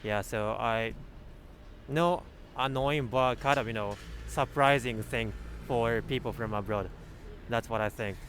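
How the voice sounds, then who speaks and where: A man conducts a casual street interview in a mid-range voice with a Japanese accent. He is a native Japanese speaker who repeats answers back. Outdoor traffic noise is clearly heard around him. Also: medium pitch, measured pace, a bit bright. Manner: echoes answers back with frequent acknowledgements. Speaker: street interviewer and channel host.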